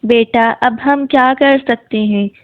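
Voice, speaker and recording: Woman playing an anxious village mother, slow-spoken. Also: a little high, steady tone, dry recording. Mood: concerned. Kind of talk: cartoon moral-story dialogue. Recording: thin, phone-like, dry audio.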